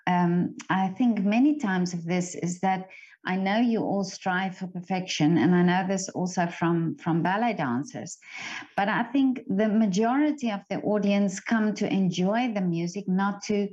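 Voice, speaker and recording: A woman's voice: medium pitch, a little slow. Hesitant, agreeable woman interviewer, lo-fi. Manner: warm agreeing, hesitant with many "um" and "you know". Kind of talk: casual interview talk. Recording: dull lo-fi recording.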